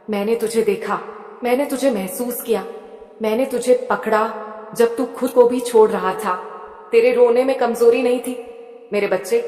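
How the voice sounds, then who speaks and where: Soft motherly goddess done by a woman, soothing and close, talking straight to you, big echoey hall sound. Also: mid-range voice, close to an everyday voice. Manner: soothing, intimate second-person address. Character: tender motherly goddess speaking to a grieving devotee. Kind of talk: devotional monologue. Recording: echoey with long hall reverb.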